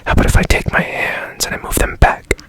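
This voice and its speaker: A man whispering in a breathy, deliberate way up close to the mic, in an ASMR roleplay as a mysterious repairman. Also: fairly bright tone. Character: strange secretive man repairing a broken robot. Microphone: close ASMR microphone.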